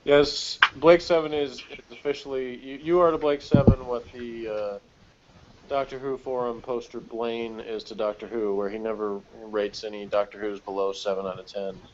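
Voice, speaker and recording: A man's voice: mid-range voice, steady tone, moderate pace, darkish tone. An American man chatting casually as co-host of a TV review, in a wry, joking manner. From United States. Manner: wry, joking, often chuckling. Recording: dull, lo-fi audio with clear noise.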